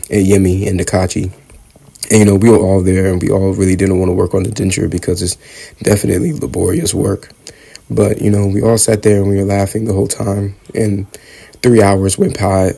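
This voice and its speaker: A young man in his twenties talking casually to camera, congested from a cold, reflecting on student life. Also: mellow. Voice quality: husky, low gravelly voice. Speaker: vlogger, dental student.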